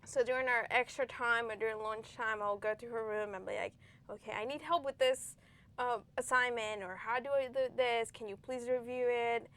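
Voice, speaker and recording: A woman's voice: fairly high pitch, steady tone, normal speed, a bit bright. Young woman. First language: Spanish. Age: young adult. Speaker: guest, former student. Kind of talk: interview.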